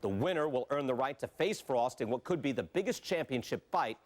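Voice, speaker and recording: Polished mid-pitched sports studio anchor, played by a man. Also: lively intonation, brisk, natural voice. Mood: composed, informative. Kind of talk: scripted sports news narration.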